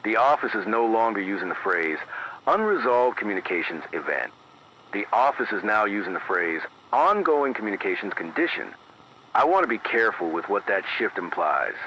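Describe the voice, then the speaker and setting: Male actor as grave emergency radio announcer for analog horror drama: low, forceful, formal and deliberate, heard over dull AM radio. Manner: measured, formal, deliberate, precise. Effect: AM radio filter. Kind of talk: scripted analog horror emergency news bulletin. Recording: dull band-limited AM radio, faint noise.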